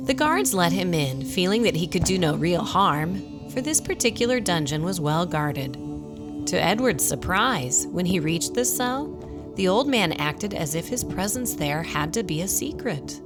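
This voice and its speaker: A woman's voice: lowish voice, very animated, a little slow. A woman reading scripted story narration for a radio drama. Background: music.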